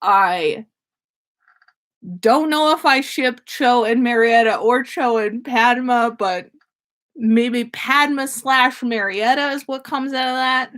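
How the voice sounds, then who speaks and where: A woman's voice: a little high, slow, a bit bright. A woman hosting a livestream in casual chat, rambling and effusive, with slangy talk and free swearing. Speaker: podcast host.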